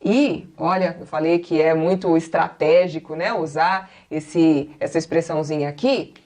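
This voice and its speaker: A Brazilian woman in her forties with a Brazilian Portuguese accent, an English teacher patiently explaining and slowly repeating each example. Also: low-pitched, steady tone, darkish tone. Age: 40s. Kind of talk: classroom-style explanation.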